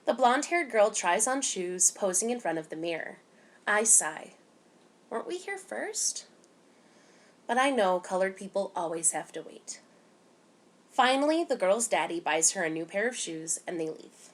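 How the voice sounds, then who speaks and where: A woman reading a picture book aloud to children in an expressive, animated way, giving emphasis to the dialogue. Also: medium pitch, moderate pace. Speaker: children's story time reader.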